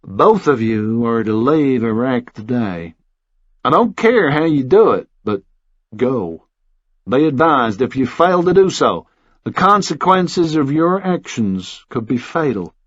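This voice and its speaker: A man voicing a cold, formal Texan official in an audiobook, measured, condescending and threatening. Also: mid-range voice, big pitch swings, a bit bright, dry recording, highs cut off, exaggerated voice. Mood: quiet menace.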